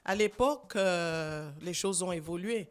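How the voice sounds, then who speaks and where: A woman in her sixties with a Gabonese French accent, a guest author speaking earnestly and emphatically in a radio interview, pausing often with fillers. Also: fairly low pitch. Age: 60s. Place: radio studio.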